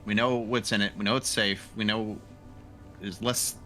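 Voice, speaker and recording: A man's voice: fairly low pitch, steady tone, moderate pace, sharp tone, soft background music. A man talking casually as a player in a tabletop roleplaying game.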